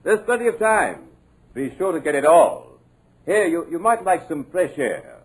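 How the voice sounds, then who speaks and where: A husky, gloating villain. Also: male voice, a little high, big pitch swings, moderate pace, low-quality recording. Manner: silky, taunting and theatrical. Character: suave sinister male hypnotist villain, gloating. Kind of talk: 1940s radio drama acting.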